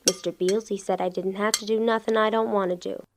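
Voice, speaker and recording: A woman's voice: mid-range voice, steady tone, a little fast, natural voice. A woman playing a sullen, defiant little schoolboy in an audio drama. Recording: noisy, with clinking breakfast dishes.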